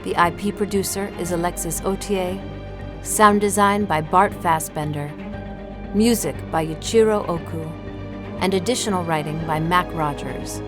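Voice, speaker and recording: A woman reading scripted credits as an announcer, measured and neutral. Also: fairly low pitch, over music.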